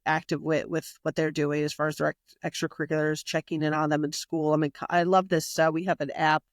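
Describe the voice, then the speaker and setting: A woman's voice: deep voice, level tone, fast, dry recording, studio quality. A woman guest in a casual podcast interview, talking in a rambling way with many fillers and restarts. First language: not Italian. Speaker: magazine publisher and guest.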